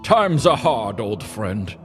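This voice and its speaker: A man voicing a kindly old wizard in a comedic fantasy parody, with grand, theatrical, drawn-out phrasing. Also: lowish voice, big pitch swings. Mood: weary. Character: kindly old male wizard, weed dealer, grandly earnest. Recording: music playing behind.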